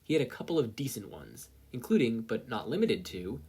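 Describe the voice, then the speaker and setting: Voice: medium pitch, big pitch swings, a little fast, a little mellow, slightly rough. A narrator reading fan fiction aloud expressively, as a story with character voices. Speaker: podfic reader, narrator.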